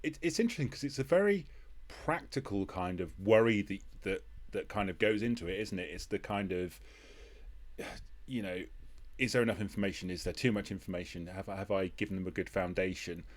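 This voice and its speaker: A man with a fairly low voice hosts a casual interview. He phrases things tentatively, circling and repeating words as he shapes each thought or question. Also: a little fast. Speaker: host, interviewer.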